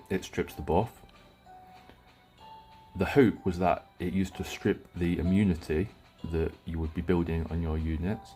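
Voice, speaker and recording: Very deep, mellow man reviewing a game. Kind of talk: gameplay review commentary.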